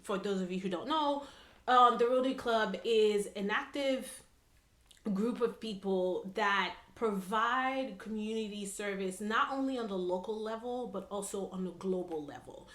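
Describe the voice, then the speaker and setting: A woman's voice: mid-range voice, a little slow, fairly bright tone. A woman hosting a casual conversational interview, often chiming in with affirming interjections. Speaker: podcast host. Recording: echoey room.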